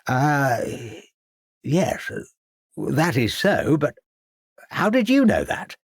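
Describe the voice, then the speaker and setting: A man's voice: fairly high pitch, big pitch swings, extremely slow, breathy edge, no room sound, studio quality, voice pushed up, full character voice. A man voicing a fussy, flustered elderly headmaster who stammers and speaks pompously.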